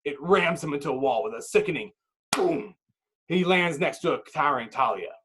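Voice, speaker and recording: A man's voice: a little high, lively intonation, normal speed, fairly bright tone. A man narrating a screenplay's action with intense, clipped dramatic phrasing. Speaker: narrator reading stage directions.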